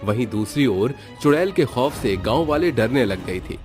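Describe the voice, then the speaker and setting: A man's voice: medium pitch, lively intonation, normal speed, a little mellow. A man narrating a scripted dramatic story. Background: background music.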